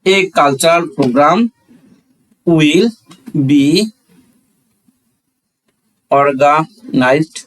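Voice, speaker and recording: A man with a Bangladeshi accent and a fairly high voice gives a classroom-style lesson. He explains step by step, repeats key phrases, and poses questions before answering them himself. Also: drawn-out words, pauses often, low-quality recording. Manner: didactic, asks and answers own questions. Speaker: English grammar teacher.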